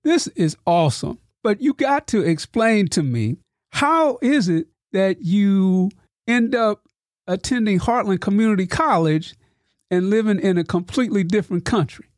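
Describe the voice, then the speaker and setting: A man's voice: high voice, expressive, normal speed, no room sound, studio quality. A man hosting a podcast interview with his students, enthusiastic and formal in his introductions. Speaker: podcast host and instructor.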